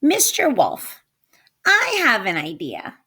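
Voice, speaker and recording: A woman's voice: on the high side, wildly swooping pitch, slow, gravelly, bright, forceful tone, breathy edge, full character voice. A woman voicing an irascible, scheming storybook witch, bossy and insulting, with a screechy voice. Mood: sly, coaxing. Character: irascible, greedy, scheming witch, shrieking when thwarted. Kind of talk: animated storybook character voice.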